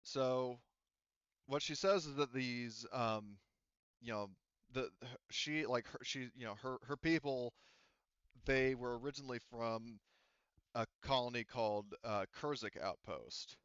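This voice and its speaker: Guy game mastering a tabletop rpg, smooth lowish voice, kinda rambly, dry slightly muffled mic. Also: a bit bright, no room sound. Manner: halting, rambling, with repeated restarts and hedging asides. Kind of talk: narrating a tabletop roleplaying game, off the cuff.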